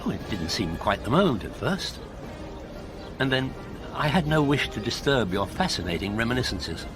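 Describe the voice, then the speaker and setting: An actor playing a curious, meticulous older English gentleman with an upper-class accent, speaking politely in a radio drama. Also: medium pitch, sing-song, normal speed, music in the background, close to an everyday voice. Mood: polite, intrigued.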